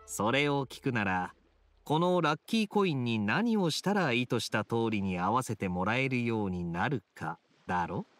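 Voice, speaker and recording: An actor voicing a suave, teasing young knight in a video game, with a smooth, playful, unhurried delivery. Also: male voice, mid-range voice, animated, close to an everyday voice. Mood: smug, playful. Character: suave, teasing young male knight with sly gambler's wit. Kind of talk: video game dialogue acting.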